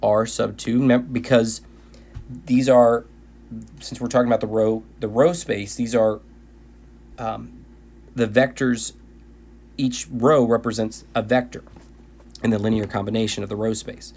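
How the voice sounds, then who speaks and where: A man with a middle-pitched voice that has worn thin and hoarse from recording lecture after lecture. He walks through a math lesson patiently, often restarting and repeating his phrases. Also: steady tone, unhurried, long pauses, darkish tone. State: voice tired from recording many videos. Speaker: math teacher.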